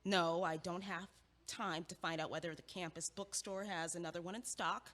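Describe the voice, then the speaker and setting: An actress playing an impatient young female student who talks in a brisk, blunt, dryly logical way in an audio drama. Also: fairly low pitch, fairly even intonation, a bit bright, big-room sound, close to an everyday voice.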